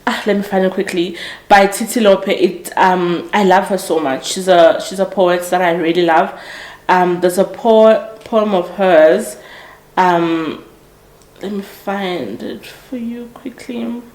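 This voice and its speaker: A woman's voice: fairly low pitch, slow pace, darkish tone. Earnest woman in her twenties, echoey room. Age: 20s. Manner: earnest, reflective, with frequent restarts and self-corrections. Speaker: podcast host. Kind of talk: casual motivational monologue.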